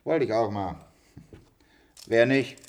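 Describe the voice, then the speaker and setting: A man's voice: medium pitch, takes it slowly, a little hiss or hum. An actor playing a chummy, nosy handyman in a German science-fiction radio drama, talking in a blunt, colloquial way. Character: chummy, nosy institute handyman, matter-of-fact working man.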